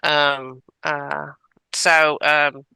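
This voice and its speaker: Bright-voiced Southern woman, chatty drawl. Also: deep voice, little pitch movement, drawn-out words, creaky, clean tone, no echo. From Georgia, US. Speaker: co-host. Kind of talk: casual podcast chat.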